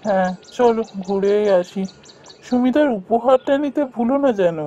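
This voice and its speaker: A man's voice: very high voice, normal speed, dark tone, dull audio. A man voicing a poor, humble younger village brother in a cartoon, weary and resigned in manner. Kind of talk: cartoon voice acting.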